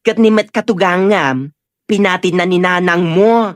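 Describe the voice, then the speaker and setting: A woman's voice: lowish voice, unhurried, no room sound, clean studio sound, close to an everyday voice. A woman playing an exasperated village peacemaker who admonishes people emphatically, in a comedic audio drama. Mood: concerned. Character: scolding village woman, exasperated peacemaker among quarrelling elders. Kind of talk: comedic Ilocano radio-style drama acting.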